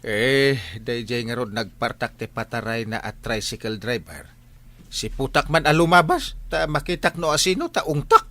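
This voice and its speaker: An actor playing a blustering, stammering comic village captain in a radio comedy, with an Ilocano Filipino accent. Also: male voice, medium pitch, lively intonation, normal speed, a bit bright. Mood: agitated storytelling. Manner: stammering, exclaiming, rambling.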